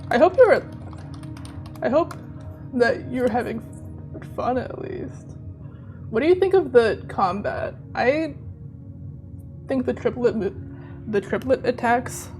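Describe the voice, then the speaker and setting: A slightly hoarse woman chatting casually to her viewers while she plays a game, rambling and laughing as she goes. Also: on the high side. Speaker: streamer. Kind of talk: casual live-stream chat while gaming. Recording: game music playing behind.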